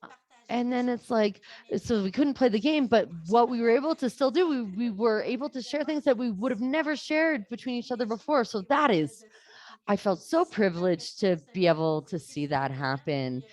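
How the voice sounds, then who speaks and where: A woman's voice: on the high side, moderate pace. A woman, a teacher earnestly sharing classroom stories in a webinar talk, often restarting her sentences. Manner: earnest, animated, frequent restarts.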